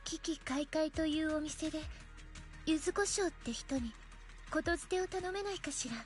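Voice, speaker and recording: A woman's voice: high voice, fast talker, natural voice. A woman voicing an earnest, confiding young woman in an anime game. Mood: matter-of-fact. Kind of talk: Japanese game voice acting. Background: game music.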